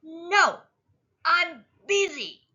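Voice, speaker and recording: A woman voicing a headstrong, excitable little child character in a picture book, exclaiming emphatically and drawing out words. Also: very high voice, very slow, highs cut off, voice pushed up, full character voice. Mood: cross, defiant.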